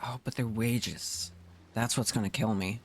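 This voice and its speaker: Slow-talking man thinking aloud while gaming. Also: medium pitch, steady tone, breathy edge. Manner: muttering to himself in short fragments. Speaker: gaming streamer. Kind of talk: casual gameplay commentary, thinking aloud. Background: background music.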